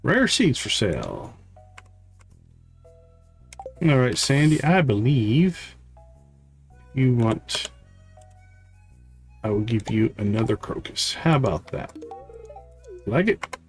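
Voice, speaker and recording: Slow-paced man streaming a game. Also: medium pitch, big pitch swings, soft background music. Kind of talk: live gameplay commentary, reading game dialogue aloud.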